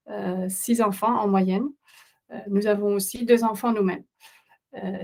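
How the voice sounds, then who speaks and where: A middle-aged woman who is not a native French speaker, presenting a parenting webinar as host with steady explanations and frequent hesitation fillers. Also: a little high.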